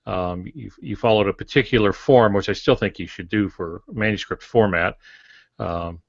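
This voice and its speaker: An older man, a veteran author, talking casually on a podcast with dry, measured humour. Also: lowish voice, creaky, no room sound. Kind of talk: casual conversation. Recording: dull close lo-fi audio.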